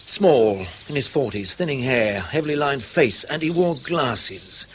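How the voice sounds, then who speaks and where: An actor playing an unflappable male intelligence officer in a radio drama, laconic, casual and quietly pressing. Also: mid-range voice, lively intonation, unhurried, a little hiss or hum, close to an everyday voice. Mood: quietly probing.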